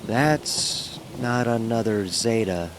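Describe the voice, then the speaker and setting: An actor playing a nervous young fraternity pledge, narrating his own horror story with measured suspense. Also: on the low side, noisy background. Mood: tense, suspenseful. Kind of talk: dramatic first-person horror narration.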